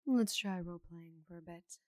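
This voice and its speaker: A woman voicing a giant female orc knight, teasing, confident and tenderly flustered, in a soft, intimate ASMR roleplay with breathy murmurs. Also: on the low side, normal speed, no room sound, studio quality, close to an everyday voice. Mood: encouraging, confident.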